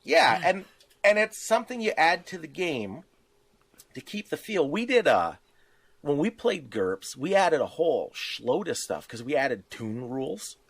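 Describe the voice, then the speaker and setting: A man's voice: fairly high pitch, sing-song, unhurried, creaky, slightly rough. A man in casual podcast discussion about tabletop games, explaining things with examples and little acted-out asides. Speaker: podcast co-host.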